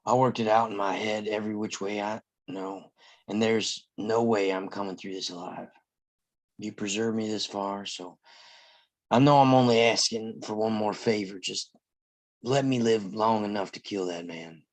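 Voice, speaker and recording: A man's voice: fairly low pitch, steady tone, moderate pace, close to an everyday voice. A man reading a weary, resolute Old West lawman in a live table read of a film script. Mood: solemn, praying.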